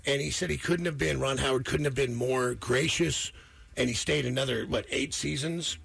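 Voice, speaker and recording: Creaky-voiced man in casual radio chat. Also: mid-range voice, moderate pace, a bit bright, no room sound. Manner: informal, slangy, emphatic and repetitive. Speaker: radio morning show host.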